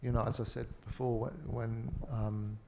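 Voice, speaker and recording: Man with Liverpool accent, very gravelly voice, rambling panel talk, faint background noise. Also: medium pitch, flat delivery, normal speed, dark tone. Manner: rambling, lots of "you know".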